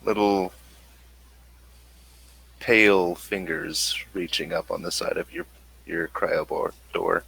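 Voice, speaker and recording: A man narrating a tabletop role-playing game as game master, halting and pausing between phrases. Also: sits low, fairly even intonation, creaky, a bit bright, breathy edge, poor audio.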